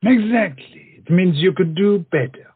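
A man's voice: sits high, lively intonation, a little slow, breathy edge, full character voice. An actor playing a pompous, witty swordsman in a comic stage play, theatrical and mocking. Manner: theatrical, mocking, peppers speech with French phrases. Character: pompous, flamboyant big-nosed French swordsman, witty and proud. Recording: muffled, tinny stage play recording.

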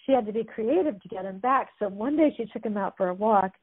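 A woman's voice: mid-range voice, lively intonation, fast talker, husky. A woman chattily retelling stories as a guest, amused, with many fillers. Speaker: guest discussing a book. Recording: tinny phone-like line.

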